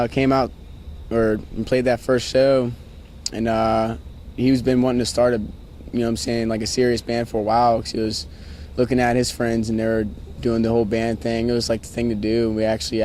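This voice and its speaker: A man's voice: medium pitch, darkish tone, slight background noise. A nineteen-year-old man, a band guitarist, talking in a laid-back, slangy way. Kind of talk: casual interview answers. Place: outdoors at a music venue.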